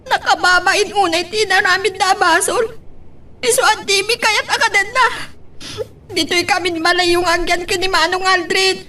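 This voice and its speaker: A woman's voice: very high-pitched, steady tone, normal speed, bright, forceful tone. A woman playing an indignant, tearful young granddaughter in an audio drama, unwilling to forgive. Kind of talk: Ilocano radio-style drama acting. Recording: distant microphone, music behind.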